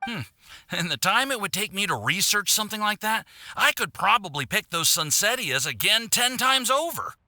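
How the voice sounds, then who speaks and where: Anime game youth: eager, flustered assistant, high-pitched, piercingly bright, exasperated and hesitant. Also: male voice, very animated, moderate pace, exaggerated voice. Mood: reluctant. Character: eager, flustered young male assistant alchemist.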